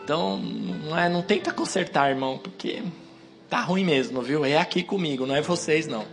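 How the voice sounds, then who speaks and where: Hoarse high-voiced male preacher. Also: expressive, brisk, soft background music. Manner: exhorting the congregation, rising to emphatic peaks. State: hoarse with a cold. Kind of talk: sermon. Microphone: wireless handheld mic.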